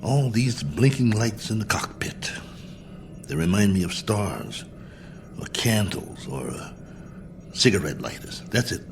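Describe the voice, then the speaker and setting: An actor playing a lonely, bored astronaut colonel in a radio drama, speaking in a wry, musing, self-mocking manner. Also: male voice, lowish voice, expressive, measured pace, long pauses, noisy background. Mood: wry, wistful. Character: lonely, bored male astronaut colonel, lone probe pilot. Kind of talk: radio drama acting, spoken log entries.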